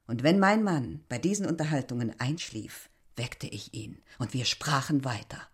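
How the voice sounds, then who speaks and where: A proud, wistful, middle-aged village innkeeper. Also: female voice, a bass voice, lively intonation, moderate pace, husky, heavily acted. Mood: melancholy, reminiscing. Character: proud, wistful village innkeeper woman, devoted to past lover. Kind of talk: literary radio drama acting.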